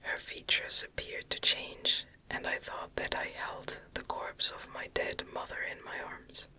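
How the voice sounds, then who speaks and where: An ASMR narrator reading gothic fiction aloud in a soft, breathy, unvoiced whisper, deliberate and close to the microphone. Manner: slow, deliberate, soft delivery.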